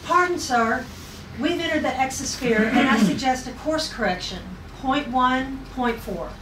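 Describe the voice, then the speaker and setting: A woman's voice: high-pitched, a little slow. A woman playing a young, dutiful but dryly sassy rocket navigator in a retro space adventure read-through. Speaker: actor at a script table read. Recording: echoey room, distant mic, noisy.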